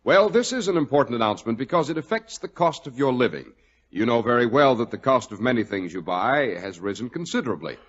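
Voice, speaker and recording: A man's voice: medium pitch, slightly rough. An American man announcing in scripted 1940s radio style, with a polished, emphatic sales delivery. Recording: dull vintage 1940s radio recording.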